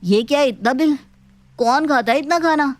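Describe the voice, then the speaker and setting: A woman's voice: high voice, moderate pace, close to an everyday voice. A woman voicing a fretful husband who worries about bills in a cartoon story. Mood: puzzled.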